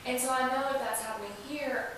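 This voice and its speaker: A woman speaks as an informal, enthusiastic guest in an interview. Her voice sits on the high side, heard a bit far from the mic in a heavily reverberant room. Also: steady tone, a little fast, a crowd in the background. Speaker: interview guest, museum staffer. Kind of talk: conversational interview answers. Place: indoors, echoey room. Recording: echoey room, distant mic, hiss.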